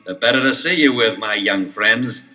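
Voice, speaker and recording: An actor playing a scheming adult crook, menacing yet reluctant, in a melodramatic audio adventure. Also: male voice, lowish voice, moderate pace, pushed and bright. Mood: menacing, gloating. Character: menacing but reluctant scheming adult male crook, sports-team owner. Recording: 1970s LP, slightly distant, faint music.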